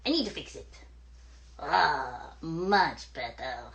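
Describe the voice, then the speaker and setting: A woman's voice: low voice, animated, slow pace, gravelly, fairly bright tone, heavily acted. A woman voicing a vain, theatrical furry male creature with a French accent in a children's puppet show, preening and drawing out words.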